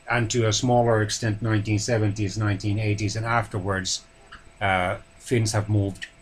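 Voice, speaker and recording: Measured man explaining languages. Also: fairly low pitch, a little hiss or hum. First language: Finnish. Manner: measured, hesitant with pauses, often clears throat. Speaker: language channel host. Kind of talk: educational talk on languages.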